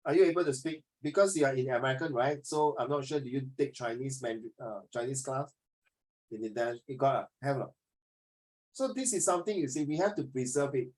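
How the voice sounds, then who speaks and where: Singaporean guy teaching social studies, lively, rambling, mixes in mandarin. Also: mid-range voice, a little fast, low-quality recording. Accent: Singaporean English. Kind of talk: online class teaching.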